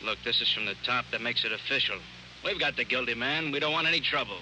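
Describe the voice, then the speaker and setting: A man acting a big, blunt, threatening police officer who barks orders forcefully, in 1940s radio drama style. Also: medium pitch, fast, low-quality recording. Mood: menacing. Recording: old radio broadcast with faint noise.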